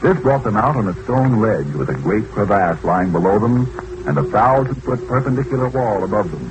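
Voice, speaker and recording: A man's voice: lowish voice, expressive, moderate pace. A man narrating an old-time radio adventure serial in scripted storytelling style. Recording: noisy old radio recording.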